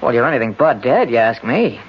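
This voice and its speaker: An actor playing a nervous but gallant lost young man, slangy and halting, in 1970s radio drama style. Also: medium pitch, very animated, natural voice. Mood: uneasy. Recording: dull, noisy old radio broadcast recording.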